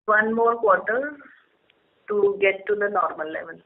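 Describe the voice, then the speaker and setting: A woman's voice: medium pitch, unhurried. A woman company chief answering business questions in an explanatory way, point by point, on a conference call. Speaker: company managing director on an earnings call. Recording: narrow muffled phone conference line.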